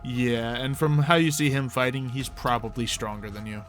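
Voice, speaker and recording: A man's voice: mid-range voice, fairly even intonation, normal speed, a bit bright, soft background music. A man acting as game master, casually narrating a tabletop roleplay game and explaining its rules conversationally.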